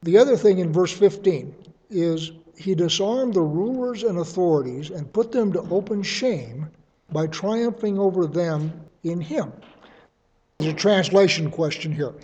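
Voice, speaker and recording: Mellow-voiced man teaching scripture in a reverberant hall. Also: high-pitched, dull audio. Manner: measured, reasoning aloud, offers tentative readings. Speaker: Bible teacher. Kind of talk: verse-by-verse Bible study teaching.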